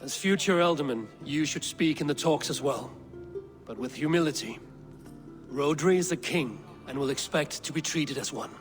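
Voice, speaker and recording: An actor playing a stoic, commanding Viking leader in a video game, grave and measured, with some weariness. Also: male voice, a little high, music in the background, lightly acted. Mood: firm, advising. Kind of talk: dramatic video game acting.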